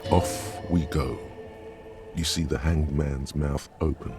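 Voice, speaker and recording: Extremely deep, brooding male inner voice. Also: steady tone, over music, heavily acted. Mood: solemn. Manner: solemn, deliberate, terse. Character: brooding, cryptic male inner voice narrating a detective's thoughts. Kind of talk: video game narration.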